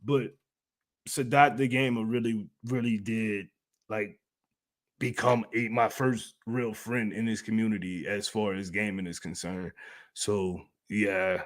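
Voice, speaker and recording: A man's voice: lowish voice, steady tone, unhurried. Playful, slangy man rambling on a livestream. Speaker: YouTube host of a gaming community channel. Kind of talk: casual livestream chat answering viewer questions.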